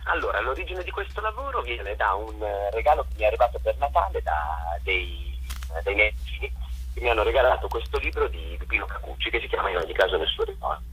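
A man's voice: lowish voice, very animated, fast talker, a bit bright, breathy edge, rough recording. A man giving a conversational interview as a theatre director, reflective and often searching for his words.

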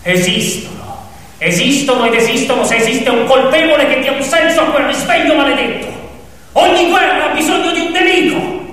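A man performing a theatrical narrative monologue as actor-narrator, dramatic and declamatory, with repeated phrases and pointed pauses. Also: extremely high pitch, a bit bright. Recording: very echoey hall from far off, faint noise.

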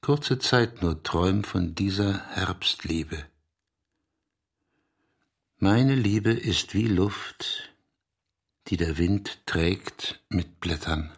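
An actor in a literary radio drama playing a restless, gruff, evasive East German poet with terse phrasing. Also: male voice, low-pitched, drawn-out words, pauses often, full character voice. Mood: brooding, tender.

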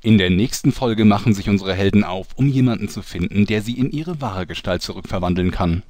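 A man's voice: on the low side, a little fast, a little mellow, dry recording, studio quality, lightly acted. A man playing a jovial, melodramatic narrator of a parody audio serial. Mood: dramatic. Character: melodramatic, jovial male storyteller.

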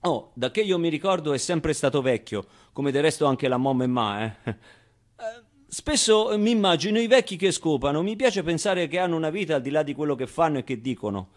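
Theatre monologue: male actor as wry, tender orphan boy, higher-pitched voice, confiding and conversational. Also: expressive, a little fast, natural voice. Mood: wry, candid. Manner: conversational, hesitant interjections, emphatic repetitions. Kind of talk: theatrical monologue, intimate storytelling.

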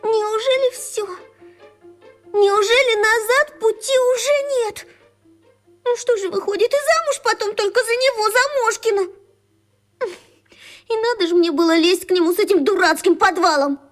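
A very high, girlish voice plays a self-important schoolgirl in love for a children's radio drama. She is theatrical and bossy, treating every small thing as grand romance. Faint music runs behind her. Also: normal speed, pauses often. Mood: dramatic dismay. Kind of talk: radio play acting for children.

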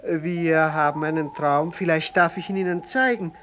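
An actor playing a polite, deliberate, businesslike seller of dreams in a 1950s German radio drama. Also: male voice, a little high, fairly even intonation, fairly bright tone, lightly acted. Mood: measured, businesslike. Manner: courteous, deliberate, formal. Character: polite, businesslike night shopkeeper who sells dreams. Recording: dull, noisy 1950s radio recording.

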